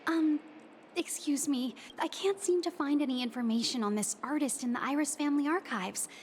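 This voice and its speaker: A woman's voice: sits high, moderate pace, slight background noise, lightly acted. A woman playing a courteous, formal hotel receptionist in a video game. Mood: polite, apologetic.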